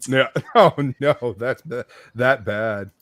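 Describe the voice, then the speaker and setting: A man's voice: medium pitch, slow, a bit bright, no echo. A man in the middle of his range hosts a live stream chat, rambling from one story to the next and swearing as he goes. He stops now and then to greet viewers in the chat. His voice is dry and close to the mic. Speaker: stream host. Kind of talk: casual chat.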